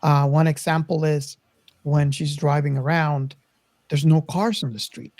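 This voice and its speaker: A podcast co-host in a casual film discussion. The voice sits at a middle pitch and moves hesitantly, searching for words. The sound is dry, with little room to it. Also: fairly even intonation, a little slow, a little mellow, dry recording.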